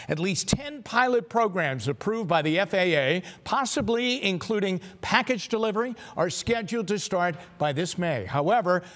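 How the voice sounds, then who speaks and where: A man with an American accent reading scripted news as a TV anchor in a studio. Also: sits high.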